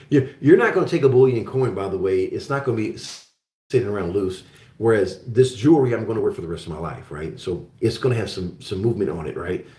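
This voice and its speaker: A man's voice: on the low side, expressive, fairly quick, warm tone, roomy sound. A man hosting a casual livestream, chatting and reading viewer questions aloud as they come in. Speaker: livestream host. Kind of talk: casual live Q&A chat.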